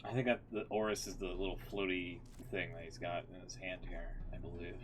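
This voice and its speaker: A man's voice: sits low, little pitch movement, fairly quick, faint music behind. A man streaming a game and giving casual, informal, sweary reaction commentary.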